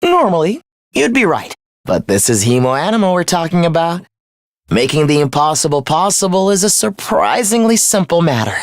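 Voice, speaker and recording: A man's voice: on the high side, very animated, moderate pace, dry recording. A young man playing a smug, mocking villain in a video game, with a condescending, theatrical delivery. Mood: smug, taunting. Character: taunting, smug young villain living in someone's head. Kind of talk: video game character acting.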